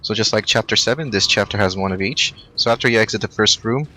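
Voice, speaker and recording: Fast-talking man giving game walkthrough directions. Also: fairly low pitch, sharp tone, low-quality recording. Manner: brisk, matter-of-fact instructions. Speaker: game guide narrator. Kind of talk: walkthrough voice-over.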